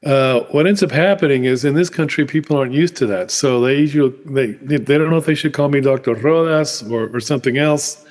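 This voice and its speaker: An older man, a professor, answering interview questions from his office over a video call in a measured, explanatory way. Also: mid-range voice, darkish tone, big-room sound. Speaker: guest, professor.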